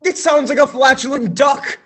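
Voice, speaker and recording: A man's voice: very high-pitched, moderate pace, fairly bright tone. A young man in a school stage play, playing a bossy, grandiose radio play writer who declaims his lines. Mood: scornful. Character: pompous scheming male radio play writer-director.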